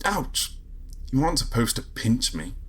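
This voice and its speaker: A man playing a grumpy, sardonic space agent in a sci-fi audio drama, with a husky voice and a wry, grumbling manner. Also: medium pitch, sing-song, unhurried, fairly bright tone, full character voice. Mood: alarmed, exasperated. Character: grumpy, trigger-happy male space agent, sardonic and exasperated. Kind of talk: first-person sci-fi audio drama narration and dialogue.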